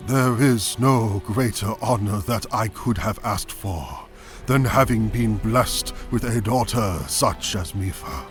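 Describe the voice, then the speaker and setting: A solemn, kindly old king of the fish-folk, played by a man, speaks with regal weight. His lowish voice moves slowly and deliberately, with soft music underneath, as in a fantasy audio drama. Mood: firm, solemn. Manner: stately, measured, regal. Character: benevolent, solemn aging fish-folk king and grieving father.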